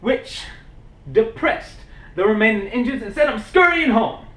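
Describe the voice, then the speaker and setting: A man's voice: very high-pitched, expressive, a little slow, bright, forceful tone, distant mic, pitched above the performer's own voice, heavily acted. A man acting a swaggering, boastful frontier showman who tells tall tales in a theatrical, grandiose stage monologue. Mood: boastful, excited. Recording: roomy, echoing indoor recording.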